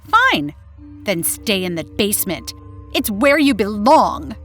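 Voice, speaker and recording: A woman's voice: sing-song, takes it slowly, soft background music. A woman voicing a cruel, taunting sister in an old-time radio horror story. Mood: mocking. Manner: taunting, shrill shouting. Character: cruel mocking sister, shrieking at her monstrous brother. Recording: roomy echo.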